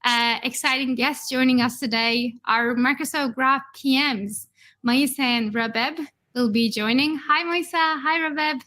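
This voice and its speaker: A woman's voice: sits high, steady tone, unhurried, bright, forceful tone. A woman, a native Turkish speaker, hosting a developer webinar over a video call in an upbeat way. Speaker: host.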